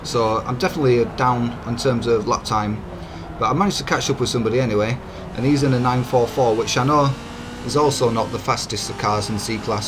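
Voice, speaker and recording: A man with a hoarse, croaky voice, giving easygoing, chatty commentary over his own racing game footage as a channel host. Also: medium pitch. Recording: game engine noise behind. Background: racing car engine sounds.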